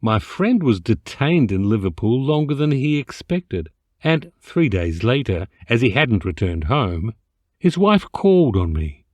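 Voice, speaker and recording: A man reading a comic literary story as an amateur narrator, theatrical and expressive with mock dignity. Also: mid-range voice, measured pace, no room sound. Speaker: amateur voice actor reading an audiobook excerpt.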